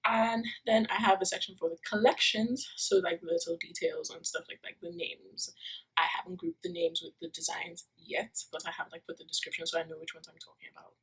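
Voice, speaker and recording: Chatty young woman, lowish husky voice. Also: expressive, brisk, fairly bright tone, rough recording. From Nigeria. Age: young adult. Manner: rambling, many fillers and self-corrections. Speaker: vlogger, university student. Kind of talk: casual vlog chat. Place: her room, indoors. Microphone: phone taped to the wall.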